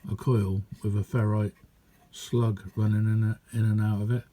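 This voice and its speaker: Bloke showing his hobby gear, really soft mellow voice, bit husky, chatty and unhurried, umming along. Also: lowish voice, level tone. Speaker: radio hobbyist. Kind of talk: casual hands-on demonstration, rambling.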